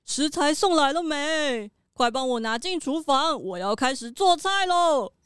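A woman's voice: high voice, expressive, measured pace, fairly bright tone. A woman playing a bossy, exasperated kitchen chef in a children's audio drama, demanding and complaining. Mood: brisk, bossy.

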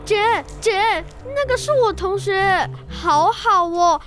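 A man's voice: extremely high pitch, lively intonation, takes it slowly, a bit bright, soft background music, heavily acted. A young boy acting a whiny little schoolboy brother in a children's radio drama. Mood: envious, defensive.

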